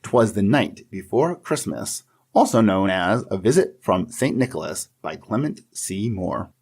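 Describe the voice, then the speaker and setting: Male podcast co-host, slightly deep with a rough husk, friendly prepared delivery. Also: big pitch swings, a little slow, darkish tone. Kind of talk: friendly, scripted-sounding podcast hosting.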